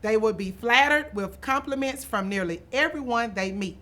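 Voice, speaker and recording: A woman reading a children's picture book aloud in an expressive storytelling manner, stretching some phrases for emphasis. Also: fairly high pitch, moderate pace. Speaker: author and school administrator reading aloud.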